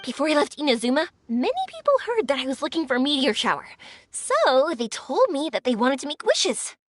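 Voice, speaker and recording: Cheerful young firework girl, eager and earnest, high lively voice, faint game music. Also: a little fast, a little hiss or hum. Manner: bright, eager and reflective. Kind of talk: video game voice acting. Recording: soft game music behind.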